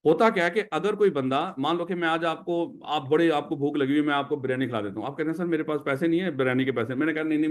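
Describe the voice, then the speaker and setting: This is a man with a Pakistani Urdu accent giving a classroom lecture. His voice sits a little on the high side. He keeps turning to the class with questions as he explains each point. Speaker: teacher.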